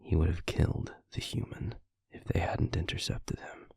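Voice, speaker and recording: A man playing a stern, guarded werewolf pack alpha in an audio roleplay, speaking formally and deliberately in a gravelly, husky voice. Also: sits low, completely flat delivery, darkish tone, no echo, in a whisper, studio quality, full character voice. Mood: grave, measured. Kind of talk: scripted audio roleplay acting.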